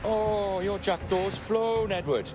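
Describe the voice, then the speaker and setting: An actor playing a gloating, treacherous pirate in a video game, taunting and theatrical. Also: male voice, high voice, slow pace. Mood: smug, taunting. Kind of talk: dramatic game cutscene acting. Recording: music behind the voice.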